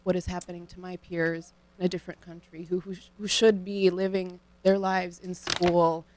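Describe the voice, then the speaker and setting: A young woman from Ohio, a student guest on a radio show, answering questions in an earnest, measured, thoughtful way into a studio microphone. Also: fairly low pitch, little pitch movement, a little mellow, rough recording. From Cleveland, Ohio, United States. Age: young adult.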